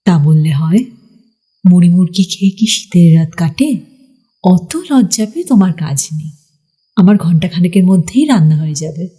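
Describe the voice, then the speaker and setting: A woman's voice: fairly low pitch, animated, moderate pace, mellow, breathy edge. A woman playing a mysterious, alluring young village woman in a ghost story, coaxing and homely in manner. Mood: coaxing, insistent. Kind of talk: dramatised Bengali ghost story.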